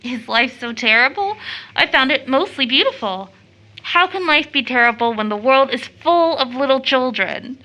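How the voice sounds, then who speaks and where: Devout, earnest young girl in audio drama; high, bright voice, fervent, emotionally heightened delivery. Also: animated, moderate pace, rough recording. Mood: anxious, bewildered. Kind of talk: stage-play audio drama acting.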